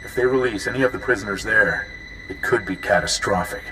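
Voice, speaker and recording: Man acting a deep-voiced, resolute prisoner-soldier commander. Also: brisk, noisy background, lightly acted. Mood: grave, resolute. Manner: earnest, formal, resolute. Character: grave, dutiful male commander of a military prisoner unit. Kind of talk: video game dramatic dialogue. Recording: long reverb, loud music underneath.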